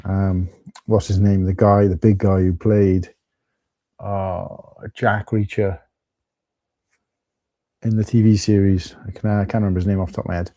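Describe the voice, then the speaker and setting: A middle-aged man talks through a live stream in a husky, low, fairly flat voice. His tone is very dark and mellow, and the sound is dry and close. He rambles casually, with plenty of fillers and restarts. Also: moderate pace, long pauses, no echo. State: husky from tiredness.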